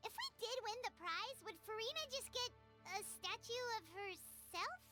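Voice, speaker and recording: A woman's voice: extremely high pitch, lively intonation, normal speed. A woman voicing a tiny, childlike, chatty fairy companion in a video game, cheeky and a bit whiny. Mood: curious. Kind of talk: animated game character acting. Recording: game music behind the voice.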